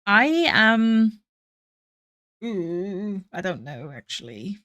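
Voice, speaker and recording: A woman's voice: medium pitch, sing-song, very slow, fairly bright tone. Young woman streamer, giggly and self-mocking, casual chat while drawing, mid-range voice. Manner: giggly, self-deprecating, chatting to viewers. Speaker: artist streaming live.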